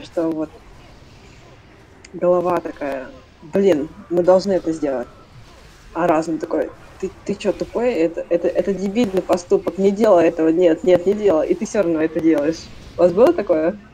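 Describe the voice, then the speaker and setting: A woman's voice: on the low side, normal speed, dark tone, low-quality recording. A young woman on casual gaming voice chat, chatting away and acting out her own inner voices as she talks. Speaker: raid player on voice chat.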